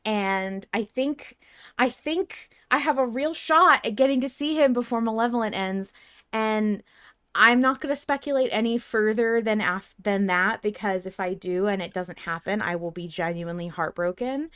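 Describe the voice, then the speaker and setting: A woman's voice: medium pitch, moderate pace, a bit bright, clear, resonant tone. Emphatic, informal woman podcaster. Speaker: podcast co-host. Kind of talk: casual fan discussion.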